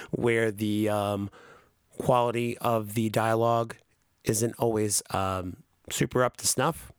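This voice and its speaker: A man's voice: mid-range voice, creaky, no room sound, clean studio sound. A man talking casually on a podcast, rambling and cursing, with many fillers and restarts. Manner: rambling, profane, frequent fillers. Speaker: podcast co-host.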